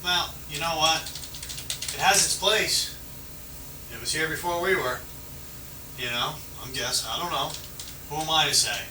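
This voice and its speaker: A man's voice: on the high side, measured pace, long pauses, sharp tone. A male police officer talking casually, explaining things in a conciliatory, conversational way. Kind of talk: casual roadside explanation to a detained person. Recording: noisy, roomy, distant mic.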